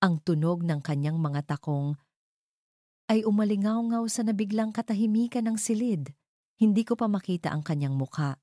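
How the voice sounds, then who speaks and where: A woman narrating a dramatic scripted story in the first person, in measured, deliberate short phrases. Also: mid-range voice, lively intonation, dark tone, dry recording, clean studio sound.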